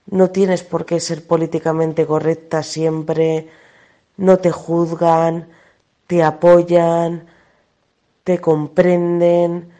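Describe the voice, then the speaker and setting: A woman giving an earnest personal reflection in an unhurried way. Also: lowish voice, dark tone.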